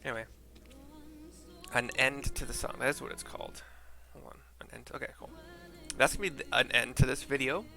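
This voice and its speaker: Male gaming narrator: casual, offhand, some fry in the voice, medium pitch, music behind. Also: fairly quick, pauses often, bright, forceful tone. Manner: offhand, muttering asides, mild swearing. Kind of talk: casual playthrough narration with scene description.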